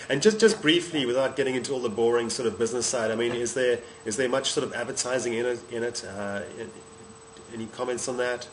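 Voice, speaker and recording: A man's voice: mid-range voice, quick pace, a little hiss or hum, low-quality recording. A man interviewing someone casually, putting questions in an informal, conversational way.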